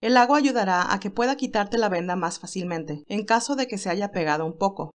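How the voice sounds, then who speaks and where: A woman's voice: mid-range voice, a little fast, natural voice. A woman voicing an earnest, tender young nurse in an audio story, emotional and caring. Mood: bright, caring.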